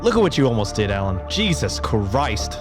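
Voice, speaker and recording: A man's voice: mid-range voice, big pitch swings, normal speed, lightly acted. A man playing an earnest, weary and principled superhero who reproaches, in a dramatic story reading. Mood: exasperated. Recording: background music under the voice.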